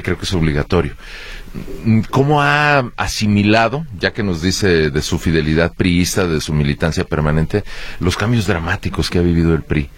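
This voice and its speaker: A man with a Mexican accent, hosting a radio interview and putting formal, polite questions to his guest. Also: low-pitched. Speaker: radio host.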